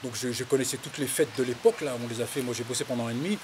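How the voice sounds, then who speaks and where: Man, 50s, from the Paris suburbs. Medium pitch, colloquial and halting storyteller in an interview, faint-to-clear hiss on the recording. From Paris suburbs, France. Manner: hesitant, rambling, colloquial. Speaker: interviewee, farmer. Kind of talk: casual autobiographical interview, recounting anecdotes.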